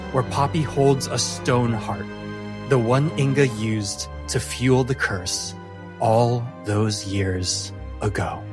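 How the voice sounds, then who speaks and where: A man narrating a tabletop fantasy game as game master, with a measured, deliberate storytelling delivery. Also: mid-range voice. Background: music.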